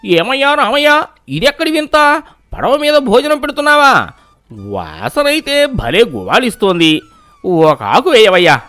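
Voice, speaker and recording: A man voicing a cheerful village customer in an animated storybook, hearty and full of praise. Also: very high-pitched, measured pace, faint music behind, exaggerated voice. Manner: hearty, admiring.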